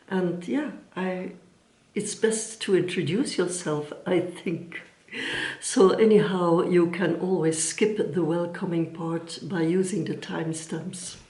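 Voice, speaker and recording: A woman from Belgium whose first language is Dutch, speaking English with a Flemish accent and hosting her craft channel, gentle and sometimes searching for her words. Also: on the low side, echoey room. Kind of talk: casual chat to camera.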